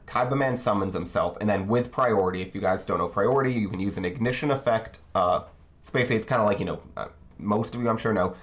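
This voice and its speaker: A man giving casual commentary on his card game matches, thinking aloud and explaining his plays, with a slightly congested voice. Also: fairly low pitch. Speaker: card game channel host. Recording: slightly dull recording, faint background music.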